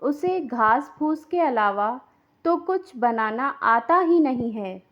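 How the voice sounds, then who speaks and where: A woman voicing a boastful, scornful rich daughter-in-law in a cartoon moral story, quick to take offence. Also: high-pitched, lively intonation, fairly bright tone, highs cut off, full character voice. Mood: haughty, scornful.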